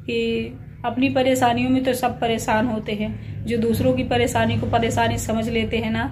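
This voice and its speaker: A woman's voice: a little high, level tone, normal speed. A middle-aged woman chatting casually and confidingly to viewers at home, rambling, sounding unwell, tired and slightly breathless. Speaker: small family vlogger. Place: at home, alone. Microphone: handheld phone. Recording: clearly noisy handheld phone recording.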